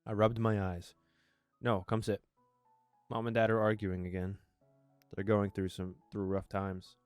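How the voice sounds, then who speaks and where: Low-voiced man playing and reading a game. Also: flat delivery, measured pace, clean tone, no echo, faint music behind. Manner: stumbles over words while reading, self-correcting. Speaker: gamer reading a game aloud with commentary. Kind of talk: casual let's play, reading narration aloud and reacting.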